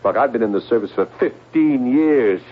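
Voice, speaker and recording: An actor in a 1970s radio drama playing an agitated, paranoid middle-aged intelligence agent, intense and halting in delivery. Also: male voice, mid-range voice, animated, breathy edge. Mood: bitter, suspicious. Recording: muffled, noisy old radio broadcast.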